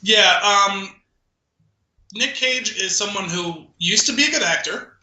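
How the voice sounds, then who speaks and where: A man's voice: high-pitched, expressive, a little slow, pushed and bright, reverberant, rough recording. A man co-hosting a podcast in casual chat, telling stories with offhand profanity. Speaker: podcast co-host.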